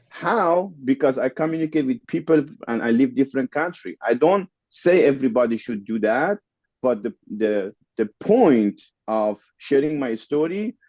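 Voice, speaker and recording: A man's voice: on the high side, a little mellow, no echo, highs cut off. A man from the Middle East whose first language is Farsi, speaking English with a Persian accent as a podcast guest, repeating phrases for emphasis. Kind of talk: casual interview storytelling.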